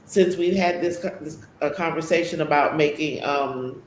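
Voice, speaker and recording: A man's voice: a little high, normal speed. A man chatting casually as a podcast co-host. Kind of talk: casual conversation. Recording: echoey room with faint background noise.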